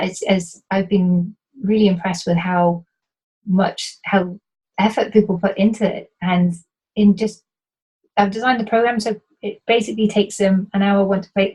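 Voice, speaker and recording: A woman's voice: on the low side, mellow, breathy edge, rough recording. A woman from Wales with a light, toned-down British accent, answering interview questions as a business coach, earnest and explanatory, often restarting her sentences. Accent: light British, toned-down Welsh.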